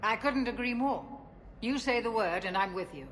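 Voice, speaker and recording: An actress playing a loyal female ship's doctor in a video game, speaking in a measured, courteous and formal way. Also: a little high, a bit bright, close to an everyday voice. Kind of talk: video game cutscene dialogue.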